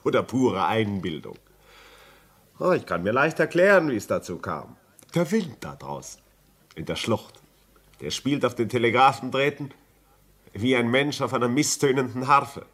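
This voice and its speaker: An actor playing a sceptical, rational gentleman visitor in a German radio play, reasoning emphatically and politely dismissive. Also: mid-range voice, animated, moderate pace, pauses often, breathy edge. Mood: confident explaining.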